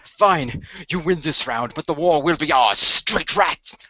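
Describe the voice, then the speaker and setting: A theatrical, haughty sorcerer villain, acted out by a man for a radio play. He taunts and gloats with animated pitch and a bright, forceful edge. The recording is dry and slightly dull. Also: measured pace, no echo, full character voice. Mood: furious. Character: gloating evil sorcerer villain with a snake staff.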